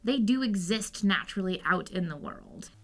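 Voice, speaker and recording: A woman's voice: mid-range voice, lively intonation, moderate pace. A woman professor giving conversational answers in an interview. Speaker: math professor being interviewed.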